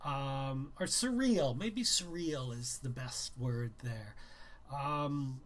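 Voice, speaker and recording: A man's voice: medium pitch, takes it slowly, a bit bright. A man doing an informal live reading of his young adult novel as its author, a little hesitant when chatting. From Canada. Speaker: author reading own book aloud.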